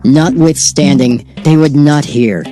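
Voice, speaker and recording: A man narrating old-fashioned scripture in a measured, solemn reading cadence. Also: a little high, over music.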